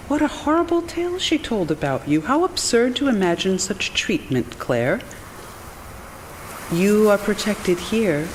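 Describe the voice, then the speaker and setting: An actress playing a fearful, fragile wife in a gothic horror radio drama, theatrical and anguished. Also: female voice, lowish voice. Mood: weary, relieved. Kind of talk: stage radio drama acting. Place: live theatre stage. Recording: reverberant theatre stage, clear noise behind.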